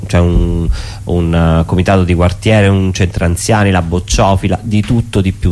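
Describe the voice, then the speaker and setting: Low-voiced man thinking aloud in an interview. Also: darkish tone. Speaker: theatre performer being interviewed. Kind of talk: spontaneous spoken interview.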